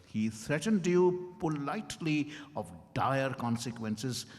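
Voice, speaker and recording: A man's voice: medium pitch, expressive, slow pace, darkish tone. A man playing a stern, accusing doctor in a dramatized stage reading, emphatic and relentless in his cross-examining. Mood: accusing, pressing. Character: stern accusing male doctor interrogating Mister Y. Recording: hall reverb with music behind.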